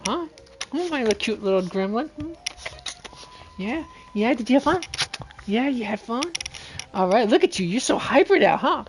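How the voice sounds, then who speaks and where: A woman's voice: fairly high pitch, lively intonation, moderate pace, pauses often, background noise. A woman game streamer chatting casually and playfully on a livestream.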